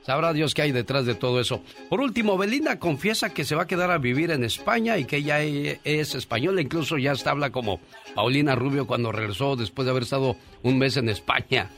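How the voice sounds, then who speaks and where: A man's voice: mid-range voice, lively intonation, fast talker, a bit bright, soft background music. A man hosting a radio show who chats casually and conversationally with his co-host.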